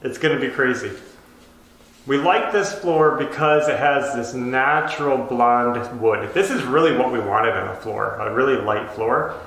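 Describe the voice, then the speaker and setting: A man's voice: mid-range voice, measured pace, fairly bright tone. A man in his thirties talking casually to camera, explaining things as a home renovation vlogger. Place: empty bedroom under renovation, indoors. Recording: very echoey empty room.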